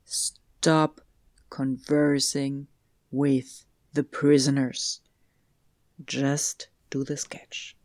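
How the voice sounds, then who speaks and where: A man playing a stern detective superior in a dramatic audio roleplay, curt, commanding and deliberate. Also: mid-range voice, monotone, a little mellow. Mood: stern, weary.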